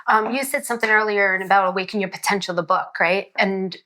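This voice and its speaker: Woman hosting an interview, bright voice, mid pitch, casual and affirming. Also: fairly even intonation, fast talker. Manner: affirming, checks in with "right?".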